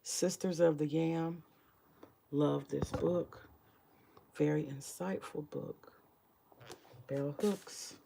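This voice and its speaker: A woman with a very low, gravelly morning voice and a dark, mellow tone talks through her book collection at home. She rambles and swears casually, muttering to herself as she looks through her shelves, over a faint hiss of background noise. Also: fairly even intonation, very slow, long pauses. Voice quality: deep morning voice. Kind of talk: casual home vlog chat.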